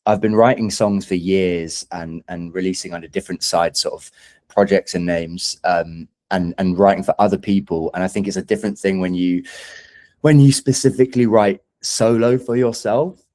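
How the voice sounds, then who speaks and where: A man's voice: sits low, fairly even intonation, moderate pace, a little mellow. A man who is a singer-songwriter guest in a casual interview, speaking in a hesitant, thoughtful, self-deprecating way.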